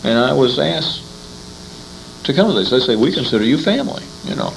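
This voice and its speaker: A man's voice: mid-range voice, sing-song, fairly quick, vocal fry. A man reminiscing casually in conversation, often repeating words as he searches for the right phrase. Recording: slightly noisy amateur 1980s convention recording.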